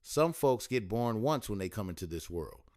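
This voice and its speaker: A man's voice: fairly low pitch, sing-song, moderate pace, a little mellow, dry recording, studio quality. A tender, wise mother, voiced by a man. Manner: soothing, tender, folksy phrasing.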